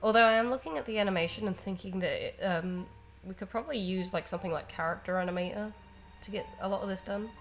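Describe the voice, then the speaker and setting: A woman's voice: mid-range voice, quick pace, soft background music. A woman chatting casually as co-host of a live game stream.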